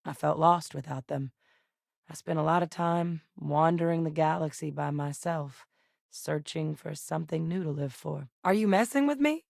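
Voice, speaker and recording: Low-voiced game ex-soldier scientist, calm and clipped, shouting orders when things get hot. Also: female voice, gravelly, dry recording, clean studio sound. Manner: measured and matter-of-fact, barking orders in combat. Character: steady, guarded woman ex-soldier scientist. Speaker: former soldier turned scientist companion. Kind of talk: video game voice lines.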